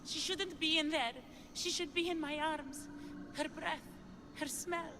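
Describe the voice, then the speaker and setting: A woman's voice: sits high, fairly even intonation, a little slow, background noise. An actress playing a lovesick, desperate woman of ancient Greece in a video game, with an earnest, pleading delivery. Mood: anguished. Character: lovesick, desperate ancient Greek woman seeking her lover. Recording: music behind the dialogue.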